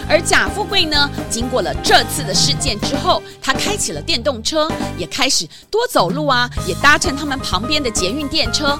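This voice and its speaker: A woman narrator with a Taiwanese accent tells a children's story over clear background music. Her voice is high and moves up and down a great deal as she addresses young listeners. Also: sing-song, moderate pace, few pauses. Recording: music under the voice.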